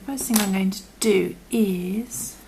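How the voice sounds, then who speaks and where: Woman, croaky voice, patient crochet tutorial, mid pitch, slight background noise. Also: takes it slowly, darkish tone, low-quality recording. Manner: patient, often drops to a half-whisper while working. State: croaky from illness. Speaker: crochet instructor. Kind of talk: step-by-step tutorial.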